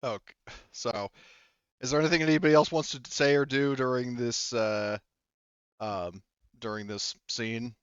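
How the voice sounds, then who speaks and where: A man's voice: medium pitch, fairly even intonation, a little slow, long pauses, fairly bright tone, smooth, no echo, lo-fi sound. A man running a tabletop roleplaying game, narrating scenes and asking players questions in a casual, conversational way. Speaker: game master.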